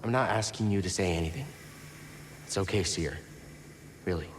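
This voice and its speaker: A man's voice: sits low, moderate pace, slight background noise, natural voice. A man playing a determined, earnest young Jedi hero in a game cutscene, terse and stubborn in his delivery. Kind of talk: video game cutscene acting.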